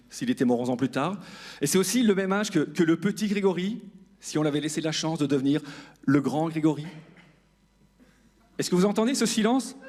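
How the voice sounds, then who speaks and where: A man performing stand-up on stage, self-deprecating and speaking directly to the audience. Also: fairly high pitch. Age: 40s. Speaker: stand-up comedian. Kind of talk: stand-up comedy monologue to an audience. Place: live comedy club stage.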